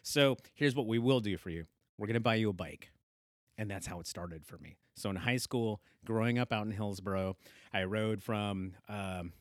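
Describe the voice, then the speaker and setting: A man's voice: on the low side, no room sound, studio quality. A man in his forties, born in the Philippines, speaking earnestly as a guest in a conversational interview, with emphatic agreements and frequent fillers. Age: 40s.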